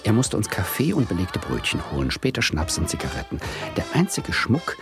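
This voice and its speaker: A man's voice: lowish voice, huge pitch swings, fairly quick, music in the background. A man acting a meek, admiring novice investor who narrates a 1970s radio play in a modest, reflective manner. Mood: admiring, wry. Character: meek, admiring former socialist turned naive small investor.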